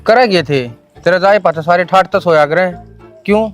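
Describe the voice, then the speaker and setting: A man's voice: high-pitched, moderate pace, soft background music, close to an everyday voice. An actor playing an exasperated, teasing rustic village man in a comedy sketch, complaining colloquially in a Haryanvi accent.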